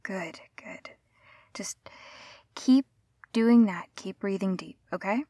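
A woman's voice: mid-range voice, takes it slowly, dark tone, no echo. A woman playing a caring, flustered young rescuer in an intimate audio roleplay, speaking in a hesitant, stammering, soothing way. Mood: calm, reassuring.

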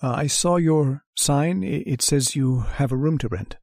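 A man playing a nervous, secretive male lodger who speaks softly and stammers, in a radio drama. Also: fairly high pitch, a little slow, creaky, no echo, studio quality. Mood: hesitant. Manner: hesitant and stammering, soft-spoken.

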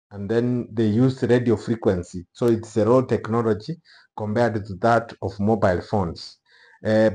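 A middle-aged man with a Kenyan accent giving unscripted news commentary to camera, speaking haltingly in short phrases. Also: lowish voice, warm tone. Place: small office room, indoors.

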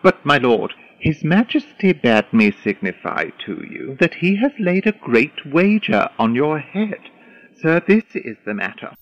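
Fawning courtier, flowery, animated pitch, Shakespearean audio drama, dry slightly dull sound. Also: male voice, a little slow, no room sound. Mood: obsequious. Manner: mannered, flowery, deferential. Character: foppish, flattering young male courtier, wordy and eager.

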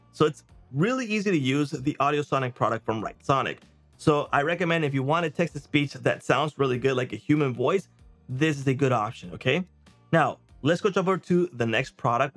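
A man in his thirties giving a casual, conversational software demo and checking in with the listener. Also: medium pitch. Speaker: presenter of software review videos. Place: small indoor home studio. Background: background music.

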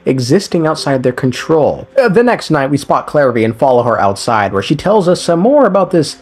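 A man's voice: on the high side, big pitch swings, brisk, darkish tone, husky. A young man narrating a casual, scripted game story recap with wry, irreverent, slangy asides. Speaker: game lore video narrator. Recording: music under the voice.

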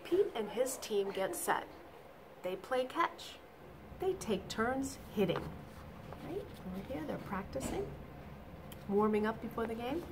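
A middle-aged woman reading a picture book aloud to children, expressive and deliberate, with emphatic exclamations, in a small room. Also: on the high side, noisy background. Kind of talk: animated read-aloud storytelling for children. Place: small indoor office or classroom.

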